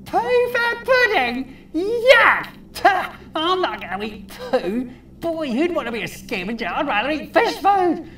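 A woman voicing an excitable, grumbling fish in a children's puppet show, exclaiming and muttering incredulously. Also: sits high, slow pace, roomy sound, far from the mic, exaggerated voice. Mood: scandalised.

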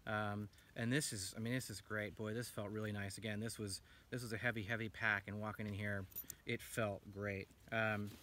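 A man's voice: fairly low pitch, flat delivery, normal speed, pushed and bright, slight background noise. A man in his thirties enthusiastically showing off and reviewing a pack he made, talking casually with lots of ums while sitting outdoors in a forest. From United States. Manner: enthusiastic, rambling with many ums. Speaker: gear maker reviewing his own pack. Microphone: camera a few feet away.

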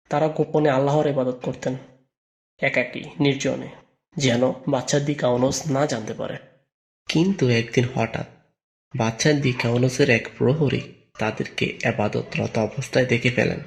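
A man's voice: medium pitch, gravelly, a little mellow. A man narrating a scripted religious story as a storyteller.

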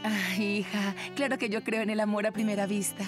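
A woman's voice: medium pitch, level tone, fairly quick, music in the background, natural voice. A woman voicing a sentimental middle-aged mother in a cartoon, tender and emotional. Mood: fond. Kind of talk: cartoon voice acting.

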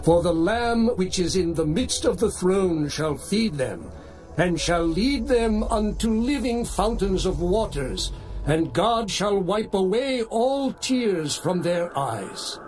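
A man voicing a solemn heavenly elder in a dramatized scripture reading, speaking slowly and with measure. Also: sits high, animated, a little mellow, poor audio. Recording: music playing underneath.

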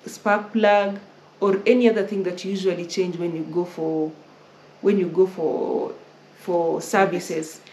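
A woman's voice: medium pitch, darkish tone. Kenyan woman, young, casual advice, rephrasing, echoey room, faint noise. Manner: repeats and rephrases while explaining. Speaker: travel vlogger host. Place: indoor living room, on a sofa.

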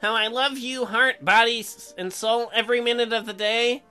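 A man voicing an earnest, halting young farmer in love in a visual novel. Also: very high voice, steady tone, pushed and bright, smooth, soft background music. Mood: tender. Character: earnest young farm man, dreamy lover.

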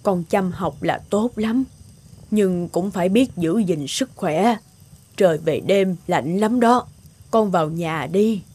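Slow, plaintive old mother. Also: on the low side, husky. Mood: tender concern. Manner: sighing, plaintive, tender. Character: poor, sickly, loving old mother. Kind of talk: cartoon voice acting. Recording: loud guitar music behind.

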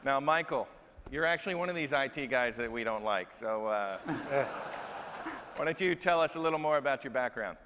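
A man's voice: medium pitch, lively intonation, normal speed, background noise. A man hosting a live product demo on stage, casual and joking, asking leading questions. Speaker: demo host. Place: large stage, hall. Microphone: lapel mic. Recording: reverberant hall.

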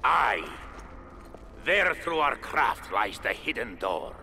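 An actor playing a proud, mournful dwarf warrior in a fantasy game, weighty and dramatic. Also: male voice, medium pitch, sing-song, slow pace, vocal fry, slight background noise, exaggerated voice. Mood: awed. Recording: game audio under loud music. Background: loud orchestral music.

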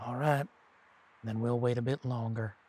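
Man acting werewolf leader, flat intonation, calm, serious, deliberate, commanding, audio drama. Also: medium pitch, natural voice. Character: male werewolf pack leader, steady, commanding, protective.

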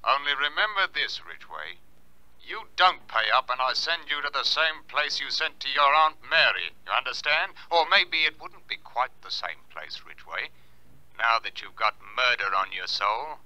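Menacing moneylender, cold, clipped threats, piercingly bright tense tone, dry sound, radio drama. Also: male voice, medium pitch, big pitch swings, moderate pace, no echo, rough recording. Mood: cold menace.

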